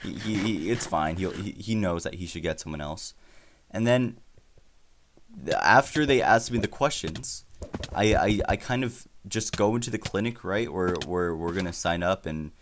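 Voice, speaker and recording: Teen male gamer, very deep voice, Spanish as first language; rambling storyteller who stammers and pokes fun at himself. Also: moderate pace, slight background noise. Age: teens. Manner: rambling, self-deprecating, stammers and restarts often. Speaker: YouTuber. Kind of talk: storytime while playing a video game.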